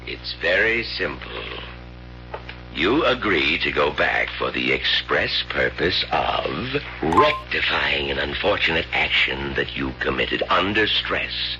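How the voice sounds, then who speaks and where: An actor playing a mysterious, coolly persuasive stranger in an old-time radio drama, theatrical and insinuating. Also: male voice, very deep voice, very animated, slow, exaggerated voice. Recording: dull vintage radio recording with music.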